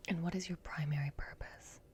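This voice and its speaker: A woman's voice: sits low, fairly even intonation. Whispering woman doing ASMR roleplay. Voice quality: breathy whisper. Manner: gentle, reassuring, unhurried guidance. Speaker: ASMR performer playing a robot repair technician. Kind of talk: soft-spoken ASMR roleplay.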